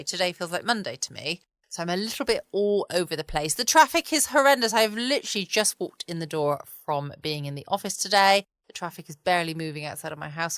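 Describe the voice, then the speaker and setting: A woman hosting a podcast in relaxed, rambling bedtime chat. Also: fairly low pitch, fairly quick, few pauses, dry recording. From England. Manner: relaxed, chatty. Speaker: podcast host. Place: at home indoors, quiet.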